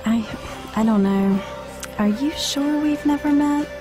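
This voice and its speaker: A woman's voice: high-pitched, a little slow. A woman playing an earnest, troubled TV star in an amateur audio play, hesitant and uneasy. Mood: uneasy, confused. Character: uneasy, bewildered TV star woman in surreal drama. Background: music.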